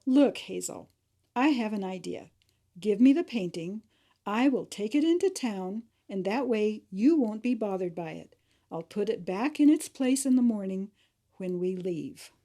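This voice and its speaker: A woman's voice: medium pitch, lively intonation, a little slow, mellow. A woman playing a cautious, concerned friend in an audio drama, earnest and coaxing. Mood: coaxing, worried. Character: concerned, cautious female friend pleading sensibly.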